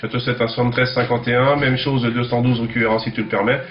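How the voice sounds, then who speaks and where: A man's voice: medium pitch, level tone, quick pace. A man speaking casually as an amateur CB operator, his voice carried over a radio link. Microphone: CB transceiver microphone. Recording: muffled, echoey CB radio with static.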